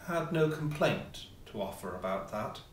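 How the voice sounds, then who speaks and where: Guy narrating an old ghost story, theatrical measured delivery, roomy echo, bit far from the mic. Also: medium pitch, expressive. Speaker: audiobook reader, amateur player. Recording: roomy echo, distant mic, faint noise.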